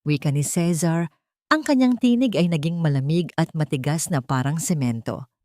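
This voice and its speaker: A woman narrating a dramatic audio story in an emotive, expressive way. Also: deep voice, moderate pace, no echo, clean studio sound.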